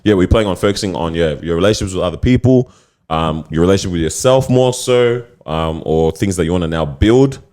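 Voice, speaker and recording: A man's voice: low-pitched, lively intonation, fast talker, darkish tone. A man hosting a casual podcast chat, laughing often and teasing his friends. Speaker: podcast host.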